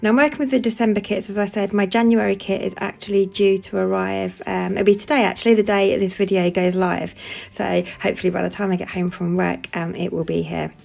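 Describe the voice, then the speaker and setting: Brief: woman crafter with a head cold, very gravelly fry, chatty and full of "um"s. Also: mid-range voice, flat delivery, brisk, a little mellow, soft background music. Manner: chatty, lots of "um" fillers. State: has a cold, sniffling. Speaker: scrapbooking crafter demonstrating a layout. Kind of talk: casual voice-over for a craft process video.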